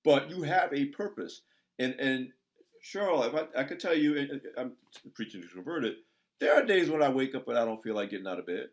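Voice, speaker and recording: A man's voice: medium pitch, animated, brisk. A man in his sixties hosting an interview, talking in an emphatic, preacher-like way as he encourages his listeners. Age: 60s. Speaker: interview host. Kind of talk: conversational interview.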